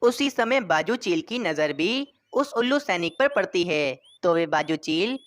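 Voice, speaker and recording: A woman's voice: fairly low pitch, expressive, measured pace. A woman reading scripted narration for a children's story.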